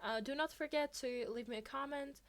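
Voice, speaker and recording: A woman's voice: high voice, normal speed, fairly bright tone. A woman narrating fan fiction as an amateur podfic reader.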